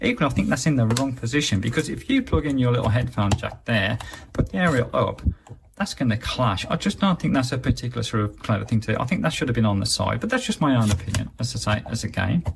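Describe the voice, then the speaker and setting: A man talks briskly through a hands-on product review in a chatty, matter-of-fact way. His voice has a creaky edge, and there is light noise behind him. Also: mid-range voice, animated. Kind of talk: casual hands-on gadget review.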